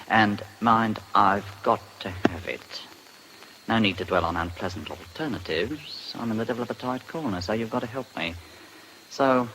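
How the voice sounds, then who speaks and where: An actor playing a smug, jaunty English blackmailer in a radio drama, reading out a letter in a breezy, insinuating way. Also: male voice, lowish voice, a bit bright, slight background noise, low-quality recording. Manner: breezy, insinuating, drawling hesitations.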